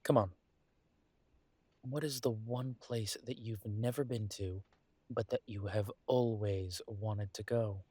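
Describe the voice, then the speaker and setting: A man acting an affectionate, world-weary werewolf detective in an intimate audio drama, soft and coaxing with thoughtful pauses. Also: fairly low pitch, steady tone, breathy edge, no room sound.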